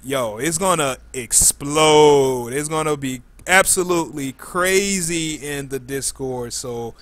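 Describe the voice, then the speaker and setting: A man's voice: on the high side, slow, fairly bright tone. A man hosting a live podcast in a casual, informal way with plenty of slang. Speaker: podcast host.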